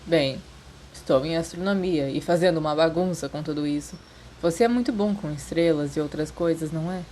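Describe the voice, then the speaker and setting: A woman's voice: sits low, warm tone, slight background noise, close to an everyday voice. A woman with a Brazilian accent voicing a nervous, earnest, bookish schoolboy in a story, with hesitant speech. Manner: hesitant, halting.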